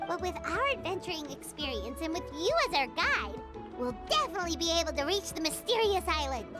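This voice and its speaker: Little game fairy sidekick, very high childlike squeak, bouncy intonation, eager, earnest, prominent background music. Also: female voice, very animated, fairly quick. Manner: refers to self in third person, exclamatory. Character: small excitable floating fairy girl companion, childlike and earnest. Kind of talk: video game voice acting.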